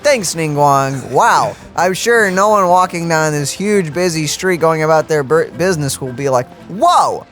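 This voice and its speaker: A man's voice: a little high, lively intonation, a little slow, hardly any pauses, a bit bright. A man doing casual let's play banter with joking, sarcastic asides. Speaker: gaming video co-host. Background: game music.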